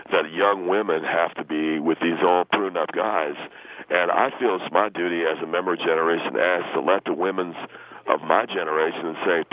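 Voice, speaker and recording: Low-pitched, indignant young guy played by a man phoning a radio comedy show; halting, crude, self-righteous, on a thin, hissy line. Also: natural voice. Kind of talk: improvised radio comedy call-in. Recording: phone-like radio line with hiss.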